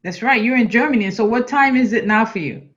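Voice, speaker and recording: A woman's voice: on the high side, quick pace. A middle-aged woman hosting an interview show over a video call, encouraging and friendly, often restarting her words. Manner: warm and encouraging, with stumbling restarts. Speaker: host. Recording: dull lo-fi video call audio.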